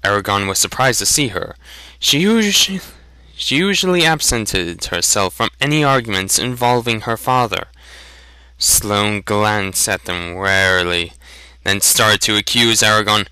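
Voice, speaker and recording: A man reads a storybook aloud in a dramatic amateur style. His voice is very bright and forceful, and he goes slowly and deliberately. The sound is dry and close. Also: fairly low pitch, clean tone, no room sound, poor audio. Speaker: storybook narrator. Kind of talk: dramatic amateur audiobook reading.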